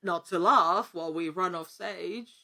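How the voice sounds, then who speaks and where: Woman actor chatting on a podcast, slightly low voice, casual. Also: measured pace, sharp tone. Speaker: podcast guest, actor and producer. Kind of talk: casual chat.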